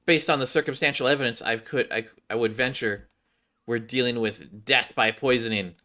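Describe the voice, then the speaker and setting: Man putting on a pompous, bright-voiced girl detective. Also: medium pitch, steady tone, close to an everyday voice. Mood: prim. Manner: formal, pompous, deliberate wording. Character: earnest, formal young female detective, primly self-assured. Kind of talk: game cutscene read-aloud.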